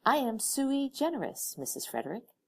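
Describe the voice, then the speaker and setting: Woman playing an uncanny, overly polite gentleman. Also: on the high side, big pitch swings, moderate pace, gravelly, slightly rough, pitched above the performer's own voice, exaggerated voice. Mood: courteous, formal. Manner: formal, over-precise, polite.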